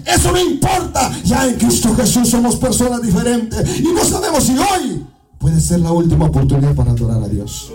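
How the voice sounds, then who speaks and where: A man from Guatemala with a Guatemalan accent preaching a fervent evangelical sermon in church, often shouting and half-singing his phrases. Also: very high voice, wildly swooping pitch, normal speed. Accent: Guatemalan Spanish. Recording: distant mic with music behind.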